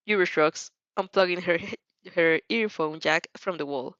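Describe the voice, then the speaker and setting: A woman reading fan fiction aloud as an expressive, theatrical storyteller who now and then stumbles over words. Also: fairly low pitch, normal speed, dry recording, muffled recording. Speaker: fan fiction reader and host. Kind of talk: expressive storybook narration with character voices.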